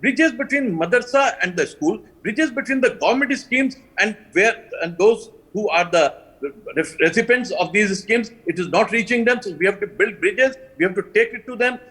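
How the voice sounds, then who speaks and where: A man's voice: high voice, expressive, moderate pace, a bit bright, breathy edge, low-quality recording. An older man with an Indian English accent, speaking earnestly and emphatically as a guest on a live TV panel and repeating his key phrases. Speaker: panel guest, alliance co-founder.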